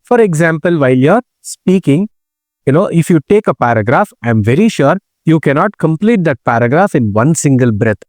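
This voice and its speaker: A man's voice: a little high, sing-song, moderate pace, a little mellow, no echo, studio quality. A man teaching an exam speaking task, explaining step by step and asking rhetorical questions, often checking in with "correct?" and "okay". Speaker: test prep instructor. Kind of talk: slide-based exam tutorial.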